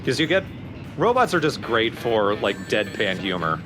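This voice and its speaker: A man with a lowish, very animated voice casually explains things during a let's play. His manner is dry, and game music runs clearly behind him. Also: normal speed. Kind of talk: casual gaming commentary with joking impressions. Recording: voice over loud game music. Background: game music and sound effects.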